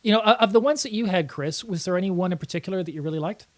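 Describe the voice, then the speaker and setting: A middle-aged man hosting a comedy show, talking casually and telling anecdotes in an animated way. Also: sits high, racing. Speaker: host of a live comedy film-riffing show. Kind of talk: casual chat, anecdotal storytelling.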